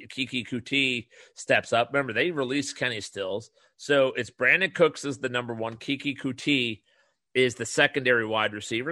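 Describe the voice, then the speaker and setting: A man hosts his podcast alone, talking in a nasal, bright, forceful voice. He rambles loosely, restarts his sentences and slips in sales pitches. The sound is dry and close. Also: dry recording. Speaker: podcast host. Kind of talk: solo podcast monologue.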